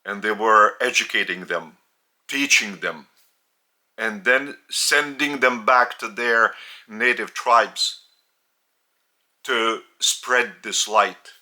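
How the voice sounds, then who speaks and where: Male spiritual teacher, Lithuanian accent, slow, very bright tone. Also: on the low side. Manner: deliberate, halting, with long pauses.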